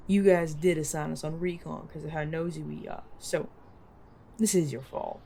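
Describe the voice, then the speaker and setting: A slightly low-pitched young vigilante voiced by a woman, cheeky and nosy. The delivery is slangy and teasing, in audio drama style, with faint noise around it. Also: steady tone, moderate pace, vocal fry, dark tone. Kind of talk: audio roleplay acting.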